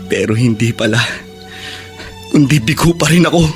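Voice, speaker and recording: Grieving, bitter ex-convict younger brother male actor, mid-pitched, halting Filipino radio-drama delivery. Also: expressive, soft background music, lightly acted. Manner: halting, emotional delivery with repeated words.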